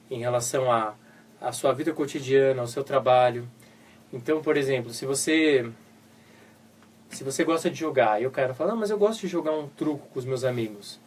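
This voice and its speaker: A man's voice: mid-range voice, normal speed, distant mic. A Brazilian man co-hosting a live web radio show, recovering from a flu, explaining with everyday examples. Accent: Brazilian Portuguese. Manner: informal, explains with everyday examples and voiced asides. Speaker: co-host psychologist.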